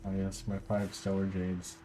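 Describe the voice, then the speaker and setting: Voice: sits low, monotone, moderate pace, warm tone. A game streamer chatting casually and informally, reacting and muttering to themselves during a live stream.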